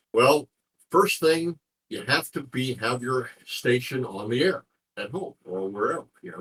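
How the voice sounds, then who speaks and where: A man with a husky voice talking informally as an interview guest, explaining things in a rambling way and repeating phrases as he goes. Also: mid-range voice, fairly bright tone, low-quality recording. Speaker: interview guest, ham radio contest organizer.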